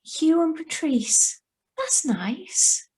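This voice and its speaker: High female voice, woman acting a troubled young heroine, hesitant, brooding, radio drama, video call reading. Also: sing-song, very slow, darkish tone, heavily acted. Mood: polite, gentle. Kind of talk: radio drama acting with first-person narration. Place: remote, on a Zoom video call.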